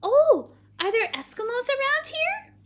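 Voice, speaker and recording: A woman voicing a timid, easily frightened little girl in a children's story, with shrill, anxious exclamations. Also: sing-song, unhurried, a bit bright, heavily acted.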